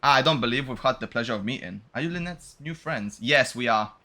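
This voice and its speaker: A man's voice: medium pitch, animated, fairly quick, bright, forceful tone. A man giving casual, joking game commentary with slang and swearing, sometimes reading dialogue aloud. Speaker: game streamer.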